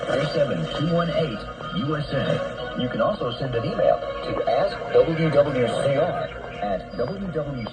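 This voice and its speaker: A man's voice: medium pitch, rough recording. A man reading a scripted station announcement as a radio announcer. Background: loud music.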